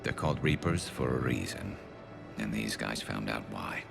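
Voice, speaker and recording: Gravel-deep weary alien soldier. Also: male voice, lively intonation, normal speed, exaggerated voice. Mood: grim. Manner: grim, dry, matter-of-fact. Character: gruff alien turian soldier, male, weary but steadfast. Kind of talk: video game voice acting. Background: music behind the voice.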